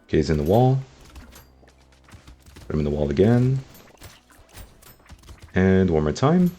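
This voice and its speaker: A man with a slightly sick, rough throat, giving upbeat casual commentary over his gameplay and talking to his viewers. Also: sits low, very animated, a little slow, warm tone, soft background music. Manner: upbeat, talks to viewers as guys. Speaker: gaming YouTuber.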